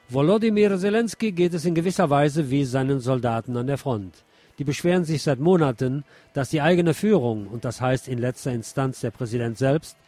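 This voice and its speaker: A man's voice: mid-range voice, a little mellow, no echo. A man hosting a radio programme, reading press commentary and news aloud. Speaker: radio show host.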